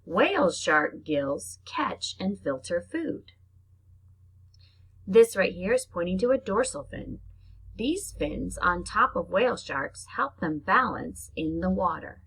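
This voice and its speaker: Female primary teacher, children's read-aloud lesson, raspy with some vocal fry, simple child-directed delivery. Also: mid-range voice, a bit bright, poor audio. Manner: simple, child-directed explaining, slow deliberate reading alternating with chatty asides. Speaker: elementary teacher reading to pupils.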